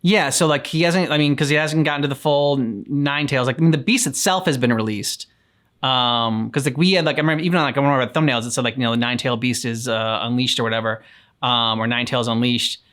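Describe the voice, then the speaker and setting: A man's voice: medium pitch, fast talker, a bit bright. A man co-hosting a reaction channel, chatting casually and a bit hesitantly, rambling as he searches for words. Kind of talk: casual chat.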